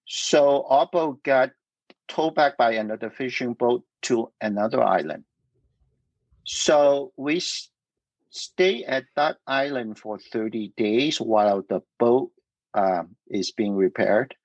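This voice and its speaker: A middle-aged man from Vietnam whose first language is Cantonese, telling his life story in a casual interview, halting with many fillers and restarts. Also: mid-range voice, no echo, rough recording. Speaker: guest.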